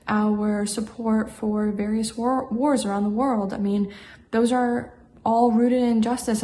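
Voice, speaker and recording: A woman voter speaking earnestly and deliberately in an interview. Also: mid-range voice, dark tone.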